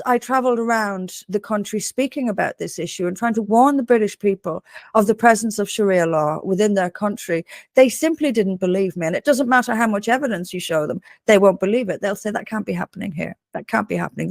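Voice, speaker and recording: Irish woman with a slightly creaky voice. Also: mid-range voice, no room sound. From Dublin, Ireland. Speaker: interview guest, political activist. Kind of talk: earnest political commentary in a live discussion.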